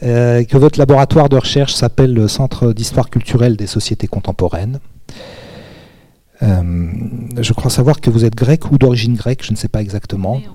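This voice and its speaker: A man moderating an onstage interview at a translation conference, halting and hesitant, with many pauses and restarts. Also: medium pitch, warm tone, no echo.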